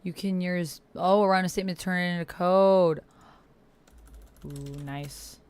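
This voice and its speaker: A woman with a slightly low voice chats casually on a livestream. She is slangy and expressive, often thinking out loud as she reacts. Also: a little slow, slight background noise. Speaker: YouTuber livestreaming a text roleplay game. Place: indoors at a computer.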